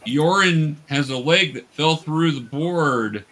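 A man's voice: a little high, slow pace, fairly bright tone. A man running a tabletop game as game master, coaching young players in a casual, patient way with hesitant explanations.

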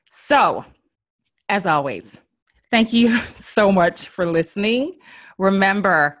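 Female podcast host, low voice, chatty and encouraging, style tips.

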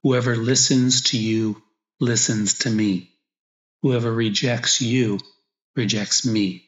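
A man, a priest guiding a meditation, speaks slowly and reflectively in short phrases with pauses, as in spoken prayer. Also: mid-range voice, fairly even intonation. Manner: slow, reflective, pausing between short phrases. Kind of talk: guided meditation, spoken prayer with gospel reading. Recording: echoey room, slightly dull sound.

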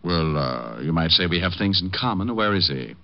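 Casting: cocky gumshoe narrator, low voice, dry wisecracks, old lo-fi radio sound. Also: male voice, lively intonation, moderate pace. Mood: flippant, needling. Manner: dry, flippant, smooth-talking. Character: wisecracking, cocky private detective, breezy and sardonic. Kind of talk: 1940s radio detective drama, acting and narration. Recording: dull old radio recording with music.

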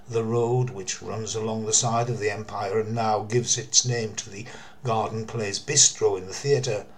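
An older man with a mid-pitched voice narrates a mystery as a storyteller. His delivery is theatrical and deliberate, leaning on key words and pausing for drama. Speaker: storyteller, former theatre worker.

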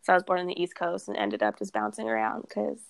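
A woman's voice: lowish voice, big pitch swings, fast, vocal fry, no room sound. A young woman from Texas, a guest on a podcast, chatting casually about her brand, laughing often and saying "like" a lot. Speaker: guest, cannabis brand founder.

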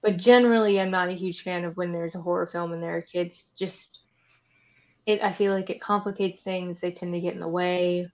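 A woman's voice: on the low side, steady tone, a little fast. Chatty woman on dull lo-fi audio. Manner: chatty, informal, wry opinionated asides. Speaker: podcast co-host. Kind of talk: casual chat about horror films.

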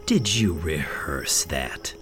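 A man's voice: extremely low pitch, animated, slow pace, music in the background, exaggerated voice. An actor playing a haughty captive monster hunter in a radio drama, sardonic and condescending toward his captors. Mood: mocking.

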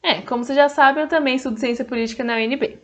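A woman's voice: high voice, very fast. A young woman from Brasília hosting a podcast, introducing herself in a friendly, chatty way. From Brasília, Brazil. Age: young adult. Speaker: podcast host.

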